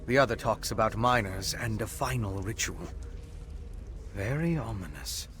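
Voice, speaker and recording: An actor playing a wry, refined vampire scholar in a video game, speaking in an articulate, measured, bookish way. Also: male voice, on the low side, a bit bright, faint music behind. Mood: studious, grave. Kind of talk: video game character dialogue. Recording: game ambience behind the voice.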